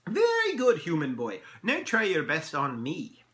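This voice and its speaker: Tiny elf, high swooping pitch, mercurial, pompous, theatrical, formal old-fashioned phrasing, storybook. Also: male voice, huge pitch swings, a bit bright, reverberant, full character voice. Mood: gleeful. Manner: theatrical, archaic formal phrasing, drawn-out delivery. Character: tiny mercurial male elf, merry, pompous, riddle-loving pipe smoker.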